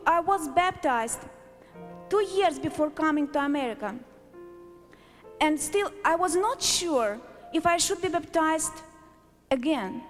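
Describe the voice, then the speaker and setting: High-voiced woman testifying in an echoing hall. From Azerbaijan. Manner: deliberate, short emphatic phrases. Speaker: church member giving a testimony. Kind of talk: prepared testimony speech to a congregation. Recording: echoey hall, long reverb, music.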